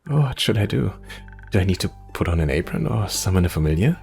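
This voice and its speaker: A man's voice: sits low, animated, fairly quick, vocal fry, a little mellow, soft background music. A man voicing a sweet, anxious, eager young ranger in a fantasy game, polite and a little hesitant. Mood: curious, excited. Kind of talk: reading video game dialogue aloud in character.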